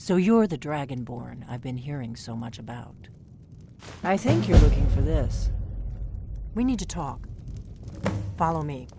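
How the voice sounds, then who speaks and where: A woman's voice: low-pitched, animated, unhurried, long pauses, warm tone. An actress voicing a guarded, secretive female innkeeper who works as a spy, speaking in a cautious, urgent, conspiratorial way over faint game music.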